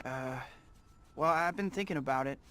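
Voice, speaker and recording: An actor playing an earnest, wry young American student who is secretly a superhero, in scripted game cutscenes. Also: male voice, medium pitch, moderate pace, pushed and bright, natural voice. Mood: apologetic. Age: young adult. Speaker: hero and narrator. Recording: music behind the voice.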